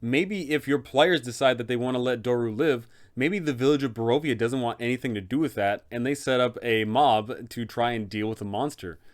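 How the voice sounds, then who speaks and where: A man's voice: mid-range voice, brisk, few pauses. A man hosting an explanatory guide for game masters, addressing the viewer directly and posing rhetorical questions. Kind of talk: explanatory video narration with advice for game masters.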